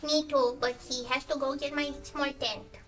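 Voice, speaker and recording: A woman with a squeaky voice, playfully coaching another player in character. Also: fairly even intonation, moderate pace, recorded from a distance. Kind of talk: playful in-character tabletop roleplay. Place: studio set with desk, indoors.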